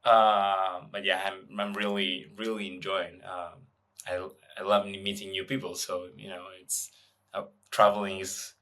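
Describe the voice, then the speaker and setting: A man's voice: low-pitched, flat delivery, unhurried, fairly bright tone. A young man from Argentina, an English speaker, answering interview questions casually with many fillers and restarts. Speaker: guest, venture investor.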